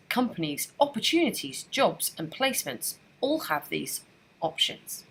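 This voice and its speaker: A woman narrating a scripted software training screencast in a clear, even instructional manner. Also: medium pitch.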